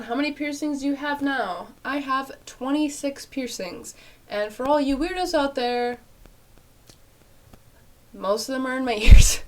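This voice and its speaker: Congested young woman chatting to camera. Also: on the high side. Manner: chatty, rambling, frequent fillers and laughs. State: nasal and congested after illness. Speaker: YouTuber answering viewer questions. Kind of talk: casual vlog chat. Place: small bedroom at home, indoors.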